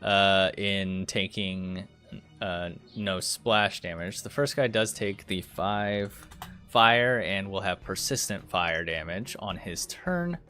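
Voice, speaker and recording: A man with a bright, clear-cutting voice runs a tabletop roleplaying game. He speaks casually and somewhat slowly, explaining rulings as game master, over faint music. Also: low voice.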